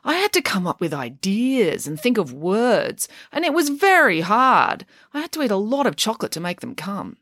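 A woman voicing a scatterbrained, chatty mother who is playful and whimsical, talking in a raised, animated way. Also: medium pitch, moderate pace, hardly any pauses, dry recording, studio quality, voice pushed up.

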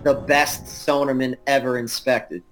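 A man's voice: mid-range voice, level tone, a little slow, music in the background, low-quality recording. A man in his fifties, a navy veteran guest telling stories with dry self-deprecating humour in casual live-show chat.

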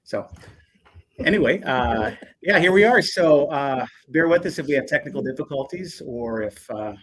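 A man, hosting a casual live show in an upbeat, halting way with many uhs. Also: medium pitch, animated, slightly rough. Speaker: show host.

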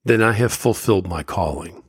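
A man's voice: on the low side, normal speed, slightly rough, no echo, clean studio sound. A man hosting a solo podcast, talking candidly and motivationally, his voice slightly scratchy from a summer cold. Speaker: podcast host.

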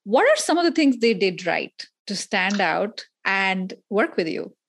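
A woman's voice: mid-range voice, very animated, a little slow, gravelly. A woman from India hosting a casual interview podcast in an effusive, informal, rambling way.

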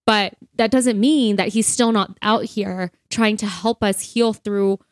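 Woman podcast host chatting about faith, earnest and casual, medium pitch, really dry recording. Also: steady tone, a little slow, dry recording.

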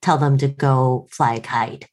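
Low-voiced wry American woman. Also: fairly even intonation, unhurried, darkish tone. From United States. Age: late 30s. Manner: wry, storytelling, self-deprecating. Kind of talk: casual conversation.

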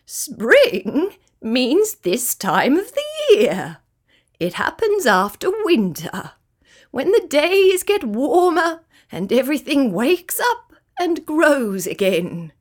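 A teasing storybook kookaburra, performed by a woman, full of chortles. His voice swoops high and stretches words out slowly. He sounds like an amused know-it-all talking to someone smaller. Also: big pitch swings, full character voice. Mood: amused, explaining. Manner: chortling, laughing through the words. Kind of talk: children's storybook character voice.

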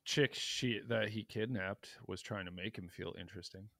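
A man's voice: fairly low pitch, normal speed, vocal fry, a bit bright, no room sound. Man, podcast co-host, loose jokey banter, dead-dry sound. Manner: jokey, rambling, drops to mumbles. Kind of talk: casual chat.

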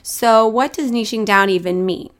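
A woman's voice: on the high side, normal speed. Woman podcast host, solo chat, slightly congested, bit scratchy, upbeat, encouraging, ums here and there. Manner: upbeat and encouraging, with frequent fillers. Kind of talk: casual solo podcast talk.

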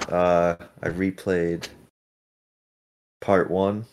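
A man appearing as a podcast guest, talking in a low-key way with short, terse answers. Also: flat delivery, very slow, a crowd in the background. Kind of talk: casual chat.

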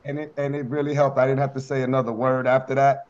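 A man being interviewed as a guest rapper, talking in a relaxed, easygoing way with lots of fillers. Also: mid-range voice, fast. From United States. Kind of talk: casual interview on a conference call. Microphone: conference call line. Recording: dull lo-fi call audio.

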